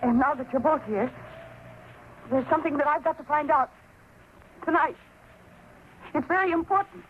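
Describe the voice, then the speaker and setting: An actress playing a bitter, desperate, haunted daughter in a 1940s radio drama, intense and dramatic. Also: female voice, sits high, moderate pace, pauses often, lightly acted. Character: bitter, desperate adult daughter haunted by her father's death. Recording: muffled, noisy 1940s radio recording.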